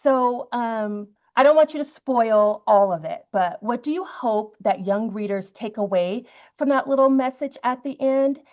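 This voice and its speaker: A woman interviewing a guest on stage in a halting, appreciative, conversational way. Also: fairly high pitch, muffled recording. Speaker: interviewer, middle school librarian.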